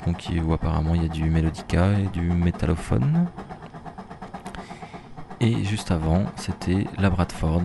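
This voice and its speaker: A man with a very low voice loosely runs through the tracks he has just played on his radio show, stopping often to search for words. Steady noise fills the space behind him. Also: gravelly. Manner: relaxed and hesitant, with fillers and restarts. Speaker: radio host. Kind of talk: casual back-announcing on community radio. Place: bar toilets in the suburbs of Brussels. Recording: noisy ambience from a bar's toilets behind the voice.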